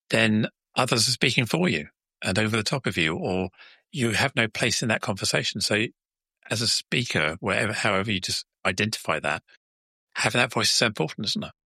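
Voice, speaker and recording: A man's voice: lowish voice, bright, forceful tone, husky, no room sound. A man hosting a conversational podcast interview, chatty and repeating words as he forms his questions. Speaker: podcast host.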